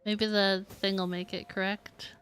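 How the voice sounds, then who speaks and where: Giggly lady game streamer, slow chatty thinking out loud, bit of music behind. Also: medium pitch, flat delivery, clear, resonant tone. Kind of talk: casual live gameplay commentary.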